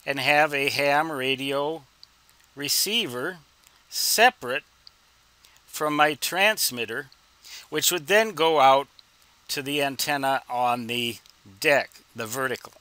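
A man explaining things as an instructor in an informal tutorial, with deliberate phrasing and wry, folksy humor. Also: medium pitch, bright, forceful tone, smooth, no echo. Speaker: instructor answering reader questions.

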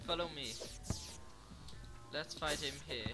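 Swedish-accented man over loud game music. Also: on the high side, takes it slowly. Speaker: game player. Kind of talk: casual gaming chat.

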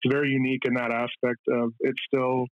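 A man's voice: mid-range voice, little pitch movement, fairly quick, no room sound, muffled recording. A middle-aged man giving casual, explanatory answers as an interview guest. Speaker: interview guest, air traffic controller.

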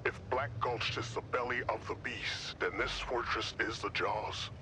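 An actor playing a solemn, worried guide and loyal ally in a video game. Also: male voice, steady tone, unhurried, bright, forceful tone. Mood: grave, pleading. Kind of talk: video game cutscene acting. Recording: noisy ambience and vehicle rumble.

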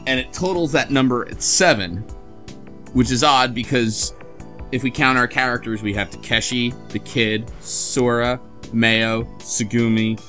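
A man with a mid-pitched voice recaps a story casually as a co-host. He speaks haltingly and corrects himself as he goes. Faint instrumental music sits behind him. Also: steady tone, sharp tone. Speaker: podcast co-host.

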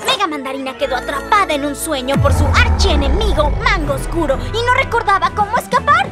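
A woman's voice: high-pitched, expressive, brisk, over loud music. A woman voicing a nerdy young comic-book fan in a cartoon dub, with an eager, chatty delivery.

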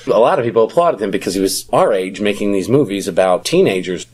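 A middle-aged man chatting casually about films as a podcast co-host, talkative and quick to laugh. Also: fairly low pitch, animated, darkish tone, breathy edge.

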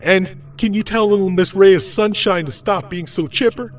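Man as a high-pitched grumbling boy. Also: moderate pace, natural voice. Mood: grumpy. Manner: complaining. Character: grumbling, weary, hungry boy adventurer.

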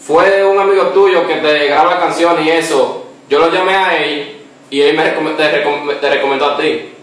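A young man making a prank phone call from a couch, halting and repeating himself, holding back laughs. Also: fairly high pitch, steady tone, fairly bright tone. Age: young adult. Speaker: prank caller. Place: small living room, seated on a couch. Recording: echoey room, far from the mic.

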